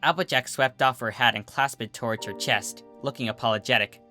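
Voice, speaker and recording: A storyteller reading scripted fiction aloud in an expressive narrating style. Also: mid-range voice, fairly quick, faint music behind. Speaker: storyteller, fan fiction reader.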